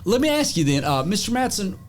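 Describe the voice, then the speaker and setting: A man's voice: high voice, sing-song, fairly quick, faint music behind. A man from eastern North Carolina, hosting a casual interview, folksy and chatty. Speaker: podcast host interviewer.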